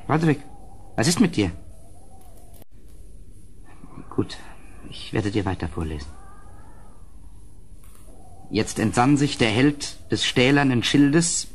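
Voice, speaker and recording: An actor playing a horrified gentleman who tells a gothic tale in an audio drama, theatrical and urgent, swelling and falling hushed. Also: medium pitch, expressive, unhurried, gravelly, pauses often, breathy edge, full character voice. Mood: alarmed. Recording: slightly noisy old studio recording.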